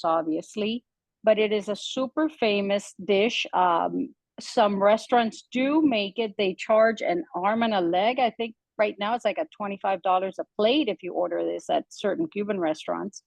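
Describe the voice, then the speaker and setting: A woman's voice: medium pitch, dry recording. Chatty woman from Cuba. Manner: chatty, frequent "um" fillers, conversational. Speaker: guest cook. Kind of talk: casual storytelling.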